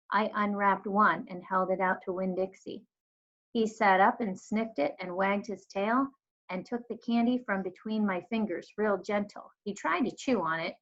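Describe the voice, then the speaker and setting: A woman reads a children's novel aloud in an expressive storybook style. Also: medium pitch. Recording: dull lo-fi recording.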